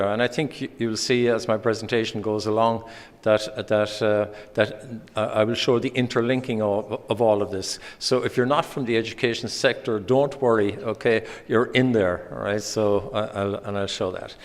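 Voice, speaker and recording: A man from Ireland with an Irish accent, giving a conference presentation with many pauses and restarts. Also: on the low side, steady tone. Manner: hesitant, many ums and restarts. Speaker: conference speaker. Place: conference hall. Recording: hall reverb.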